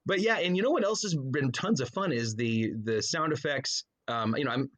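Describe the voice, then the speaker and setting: A man with a mid-pitched voice chats casually as a podcast guest. He tells things in a rambling way, breaking into his own sentences with asides. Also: normal speed, fairly bright tone. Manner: rambling, self-interrupting storyteller with frequent trailing asides. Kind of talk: casual interview chat.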